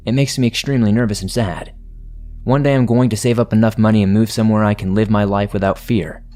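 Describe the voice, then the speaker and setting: A man narrating listener-submitted horror stories in the first person, in a steady, measured reading. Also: lowish voice.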